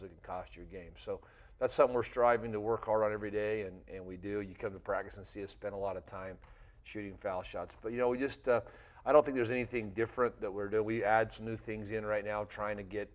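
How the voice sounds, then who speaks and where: An American man, a coach, giving a plain-spoken casual interview. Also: on the low side. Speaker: basketball coach.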